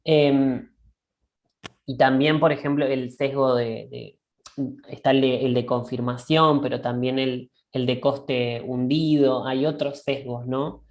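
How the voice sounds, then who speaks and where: A woman co-hosting a reflective discussion. She thinks aloud in a halting way and keeps checking in with a questioning "right?". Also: extremely low pitch, fairly even intonation, a little mellow. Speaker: discussion co-host. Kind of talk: reflective conversational dialogue.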